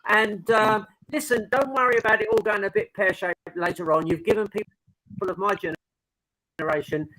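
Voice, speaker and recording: Gushing man with a bright, high voice. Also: steady tone, a little fast, rough recording. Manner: gushing, admiring. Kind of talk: casual chat.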